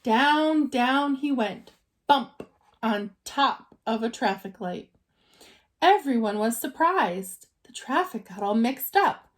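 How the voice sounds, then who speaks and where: A woman reading a children's story aloud like a teacher, expressive and dramatizing for young listeners. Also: fairly high pitch, slow.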